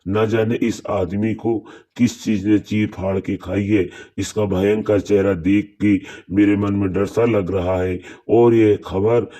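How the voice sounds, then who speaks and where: A man's voice: on the low side, level tone, unhurried, few pauses, mellow, natural voice. A man voicing a grave, uneasy village fisherman who takes charge in a cartoon horror story.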